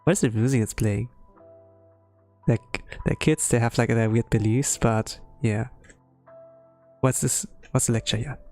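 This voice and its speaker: Halting man streaming over music. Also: medium pitch, fairly even intonation, a little mellow. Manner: halting, thinking aloud between read lines. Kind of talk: blind game story readalong with casual commentary.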